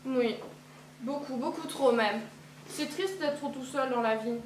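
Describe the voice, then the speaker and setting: A woman's voice: high-pitched, a little slow, background noise. A teenage girl playing a trusting little girl who chatters eagerly and repeats herself, in a school play. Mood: innocent. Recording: echoey hall from far off.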